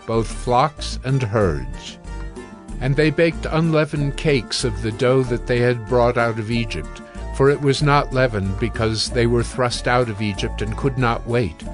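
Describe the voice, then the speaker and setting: A male narrator reads a biblical epic with solemn, measured delivery. His voice sits at a medium pitch, with soft music behind it. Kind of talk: dramatized scripture narration.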